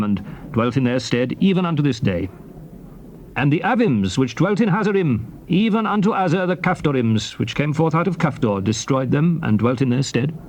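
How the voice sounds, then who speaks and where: A man's voice: mid-range voice, darkish tone, a little hiss or hum, lightly acted. An actor playing a solemn biblical leader recounting and exhorting his people, declaiming archaic scripture theatrically. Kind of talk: dramatized scripture reading, theatrical oratory.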